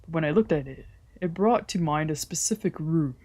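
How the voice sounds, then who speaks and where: A woman reading first-person visual novel narration aloud in an expressive storytelling style. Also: low voice, moderate pace.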